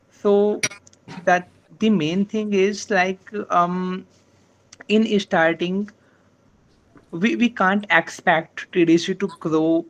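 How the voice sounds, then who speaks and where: A man's voice: on the high side, slight background noise, low-quality recording. A man whose first language is Hindi, speaking politely with many fillers during an online meeting. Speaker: meeting organizer. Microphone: video call.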